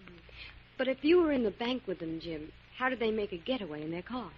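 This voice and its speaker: An actress playing a curious, quick-witted woman, a detective's partner, in a 1940s radio drama. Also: medium pitch, lively intonation, breathy edge. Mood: curious, teasing. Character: bright, curious female sidekick of a detective. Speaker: actor. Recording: muffled, noisy 1940s radio recording.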